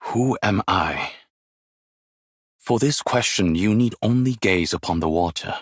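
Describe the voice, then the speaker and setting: An actor playing a wise, weary, ageless martial arts master in a game, with measured, formal, poetic delivery and a husky voice. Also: male voice, on the low side, no room sound, studio quality. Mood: calm, philosophical. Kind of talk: scripted game character voice lines, solemn and poetic.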